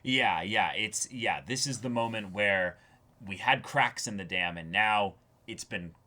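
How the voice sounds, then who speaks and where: A man's voice: on the low side, a little slow, bright, forceful tone. A man co-hosting an anime discussion in a casual, thoughtful way, often feeling his way through a sentence. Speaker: co-host of an anime reaction show.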